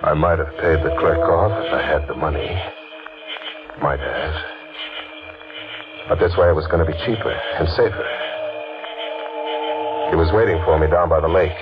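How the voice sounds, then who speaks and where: Deep-voiced, haunted, guilty killer. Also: male voice, moderate pace, pauses often. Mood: grim, brooding. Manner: hesitant, haunted, clipped phrases. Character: desperate, scheming man, a guilty killer confessing his crime. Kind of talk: 1940s radio mystery acting, first-person narration. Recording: dull vintage radio recording, loud music.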